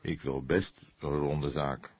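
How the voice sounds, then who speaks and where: A man voicing an old police detective in an audiobook, weary and thoughtful, speaking in a measured, deliberate way with gentle sarcasm. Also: rumbling low, fairly even intonation, no room sound, full character voice. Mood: soft, weary. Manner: slow, measured, deliberate, soft-spoken.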